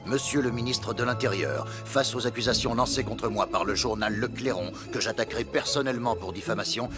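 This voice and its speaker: A man's voice: medium pitch, lightly acted. A man playing an imperious, vindictive rich baron in a French cartoon, with pompous, formal speech. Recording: loud music over the voice.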